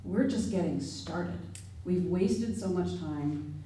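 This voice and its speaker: A woman's voice: fairly low pitch, takes it slowly, dark tone. A woman in the audience asking an earnest, pressing question about community trust. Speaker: audience member. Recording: very echoey hall from far off.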